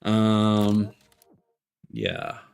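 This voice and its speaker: A man's voice: on the low side, very animated, extremely slow, creaky, a little mellow, clear, resonant tone. A man giving casual live gameplay commentary, rambling, swearing and joking dryly. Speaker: game streamer.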